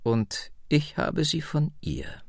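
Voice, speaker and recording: Audiobook role: man as proud woman in her nineties, wistful storyteller, unhurried delivery, creaky edge, measured and dramatic. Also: a little high, sing-song, no echo, studio quality, voice pushed up, exaggerated voice. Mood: wistful, earnest. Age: 90s.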